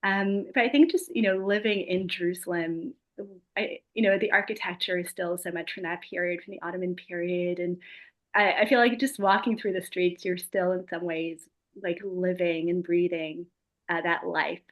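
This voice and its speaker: A woman author speaks in an earnest, steady way over a video call. Her pitch sits somewhat low and barely moves. She pauses often with ums and "you know.". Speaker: author guest. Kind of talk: talking about her book.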